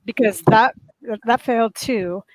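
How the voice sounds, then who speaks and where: A woman on a casual panel chat with a soft voice that turns crackly and raw, telling a long, earnest, indignant story. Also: on the high side, a little slow, no echo.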